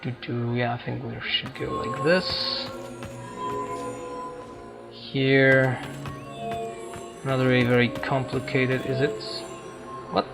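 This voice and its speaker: A man doing casual let's-play commentary on a roleplaying video game, musing and haltingly thinking aloud. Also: mid-range voice, flat delivery. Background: video game music.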